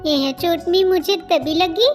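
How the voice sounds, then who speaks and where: Woman as young cartoon bird, girlish, very high pitch, slow polite delivery, innocent, curious, music behind. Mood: earnest, plaintive. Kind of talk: children's cartoon story dialogue.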